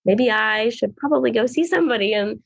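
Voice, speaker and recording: A woman guest, hoarse from being sick, talking in a rambling way with many fillers. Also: fairly high pitch, lively intonation, brisk, no room sound, dull audio. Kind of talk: casual chat.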